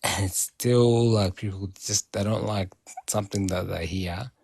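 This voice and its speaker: A man's voice: lowish voice, steady tone, measured pace, vocal fry, a little mellow, breathy edge, dry recording. A man from South Sudan chatting casually on a live audio stream, rambling and restarting his phrases.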